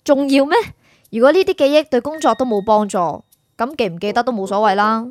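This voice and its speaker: A woman's voice: a little high, expressive, fast, a little mellow, soft background music, lightly acted. A woman with a Hong Kong Cantonese accent playing an aloof, matter-of-fact soul prosecutor who is naive about humans, in an audio drama.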